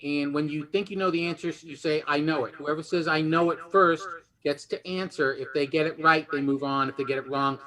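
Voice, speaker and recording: Bright, high-voiced man hosting a game show. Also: steady tone, fairly quick, few pauses, rough recording.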